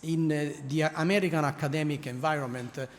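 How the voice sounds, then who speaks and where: A man's voice: on the high side, fairly even intonation, a little fast. A middle-aged man from Italy with an Italian accent, giving an academic conference talk with frequent fillers, restarts and wry asides. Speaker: conference speaker. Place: large conference hall. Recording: reverberant hall, faint noise.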